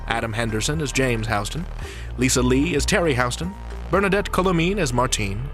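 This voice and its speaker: A male podcast host with a very lively, swooping delivery over clear background music. He sounds polished and playful, presenting to his listeners like a practiced announcer. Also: medium pitch, fairly quick, poor audio. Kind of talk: interviewing and scripted show outro.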